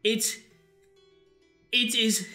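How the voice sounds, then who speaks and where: A woman's voice: fairly high pitch, fairly even intonation, extremely slow, bright, forceful tone, echoey room, faint music behind, heavily acted. A woman playing a melodramatic, faltering dying noble in an improvised comedy radio play.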